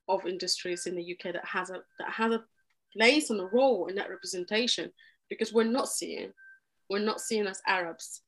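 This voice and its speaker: A young woman from Egypt talks in a podcast interview. She is earnest and animated, and she often breaks off and restarts her sentences as she goes. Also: medium pitch, low-quality recording. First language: Arabic. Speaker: podcast guest. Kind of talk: conversational interview.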